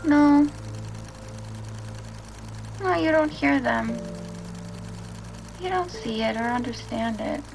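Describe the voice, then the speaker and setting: A woman voicing a troubled, withdrawn little girl in a video game, speaking in terse, halting phrases. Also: high voice, animated, creaky, over music, exaggerated voice, low-quality recording. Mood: eerie, withdrawn.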